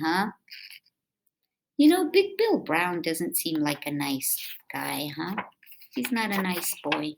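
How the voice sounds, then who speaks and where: Expressive woman reading aloud to children, unhurried. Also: mid-range voice. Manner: drawn-out words, addresses young listeners with questions. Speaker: read-aloud teacher or reader for children. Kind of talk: expressive picture-book read-aloud with chatty asides to children.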